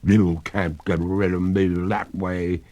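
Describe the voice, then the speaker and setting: A man voicing a genteel female water ghost in a drawn-out, theatrical manner for a storybook reading. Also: low voice, flat delivery, dark tone. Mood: defiant. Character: haughty female water ghost, a ladylike, plaintive spectre.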